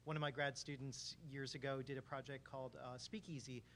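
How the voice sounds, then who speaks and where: A man speaking as a university research center director on a forum panel, relaxed and telling anecdotes. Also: mid-range voice, brisk, a little hiss or hum. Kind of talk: panel discussion.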